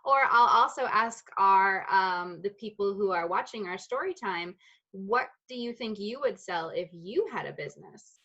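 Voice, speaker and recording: A woman's voice: mid-range voice, lively intonation, moderate pace, bright, forceful tone, muffled recording. A woman hosting a storytime, casually asking questions and relaying comments from viewers. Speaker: bookstore storytime host.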